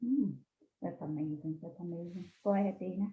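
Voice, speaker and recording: A woman's voice: lowish voice, fairly quick. A woman host welcomes her panel with lots of enthusiasm and easy laughter. Her voice comes through an echoey room and a narrow, phone-like line. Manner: enthusiastic, laughs often, repeats words. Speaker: podcast host. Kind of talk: online panel hosting.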